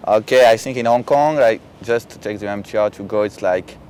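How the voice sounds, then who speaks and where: A young man from France with a French accent, an exchange student chatting outdoors in halting, simple phrases. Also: lowish voice, a little mellow, a little hiss or hum. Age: young adult. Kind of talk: casual chat. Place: outdoors on a campus lawn. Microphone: camera at a distance.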